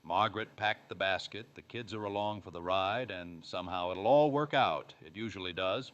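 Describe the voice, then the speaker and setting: A man's voice: low voice, moderate pace, a bit bright, dull audio. A man playing a folksy, grumbling 1950s sitcom father who narrates in a dry, wry, plain-spoken way. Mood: measured, matter-of-fact. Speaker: show host. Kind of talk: scripted narration.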